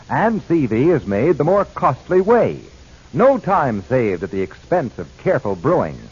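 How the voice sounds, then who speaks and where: A man's voice: on the high side, darkish tone, slightly rough. A man announcing in a polished 1940s radio commercial style, selling with scripted care. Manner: polished salesmanship. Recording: muffled vintage radio recording.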